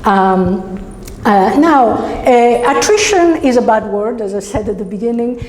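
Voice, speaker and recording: A woman's voice: fairly high pitch, expressive, unhurried, a little mellow. A middle-aged woman from Italy with an Italian accent gives a conversational academic lecture, phrasing hesitantly and checking in with her listeners. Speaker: university researcher giving a symposium lecture. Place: indoor lecture hall. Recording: roomy, reverberant hall with background noise.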